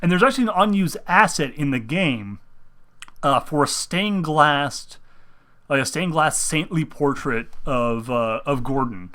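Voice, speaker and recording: A man's voice: a little high, animated, a little slow, bright, forceful tone. A young man who is sick, talking casually about video game movies, meandering with many fillers and restarts. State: sick, speaking through pain. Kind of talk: casual rambling gaming commentary.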